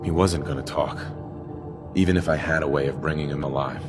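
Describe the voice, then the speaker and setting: Deep, serious game hero. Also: male voice, steady tone, a little fast, over music. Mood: grim. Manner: plain-spoken, serious. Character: grim, determined father searching for his son. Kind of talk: video game protagonist acting.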